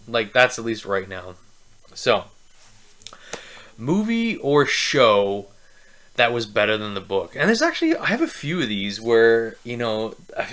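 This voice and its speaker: A youngish man with a mid-pitched voice talks casually to his viewers. He rambles through his opinions, bluntly and with a joking edge, like a relaxed video host. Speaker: book review video host. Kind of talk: casual opinionated vlog chat.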